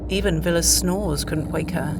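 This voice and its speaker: A woman's voice: on the low side, normal speed, noisy background. A woman reading scripted story narration for an audio play.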